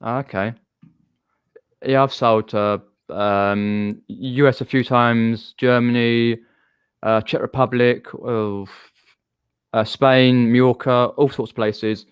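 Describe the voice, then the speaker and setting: A man's voice: medium pitch, steady tone, slow, clean tone, no echo, clean studio sound. A man with a southern English accent hosting a casual live-stream chat, rambling and chatty with frequent restarts. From southern England.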